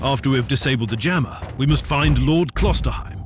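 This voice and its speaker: An actor playing a stern, commanding inquisitor in a video game, speaking curtly and imperiously. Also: male voice, medium pitch, fairly quick, noisy background, close to an everyday voice. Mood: probing. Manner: curt, imperious, probing. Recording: loud music behind the voice. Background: loud game music.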